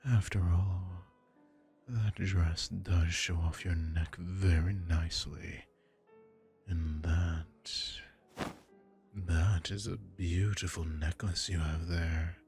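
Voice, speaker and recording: A man voicing an arrogant aristocratic male vampire lord in an ASMR audio roleplay, speaking in a slow, low, menacing seductive murmur. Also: fairly even intonation, darkish tone, faint music behind.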